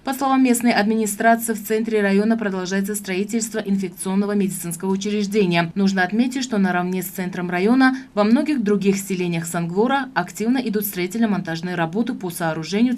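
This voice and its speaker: Brisk female news narrator. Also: mid-range voice, a little mellow.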